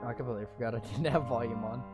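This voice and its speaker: A man reading a game's story text aloud in a slow, deliberate way, breaking off for blunt, sweary, sarcastic asides. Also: medium pitch. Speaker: gamer reading a visual novel aloud. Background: game music.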